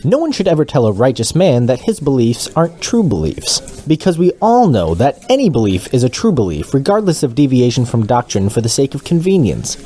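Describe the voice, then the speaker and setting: A man's voice: medium pitch, sing-song, a little fast, hardly any pauses, dark tone, husky. A man playing a sanctimonious, condescending radio news co-anchor who reads satirical bulletins with mock earnestness. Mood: self-righteous.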